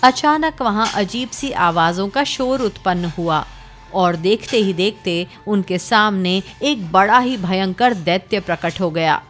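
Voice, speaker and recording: A woman narrating a cartoon story in a steady storytelling manner. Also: medium pitch, measured pace, soft background music.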